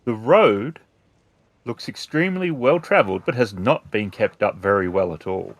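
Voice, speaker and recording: A man narrating a tabletop roleplaying game as storyteller, describing scenes in measured, deliberate phrases. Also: fairly low pitch, animated, no room sound.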